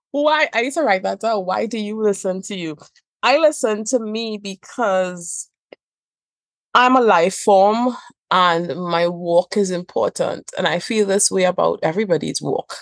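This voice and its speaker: A woman's voice: medium pitch, gravelly, no room sound. A woman from Trinidad and Tobago with a Trinidadian accent, a therapist guest speaking emphatically with rhythmic repetition in a podcast conversation.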